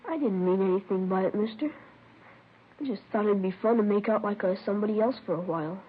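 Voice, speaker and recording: A woman's voice: medium pitch, quick pace, husky, lightly acted. A woman playing a meek, apologetic runaway boy from Ohio in a 1950s radio drama. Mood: sheepish. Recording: tinny, noisy old radio recording.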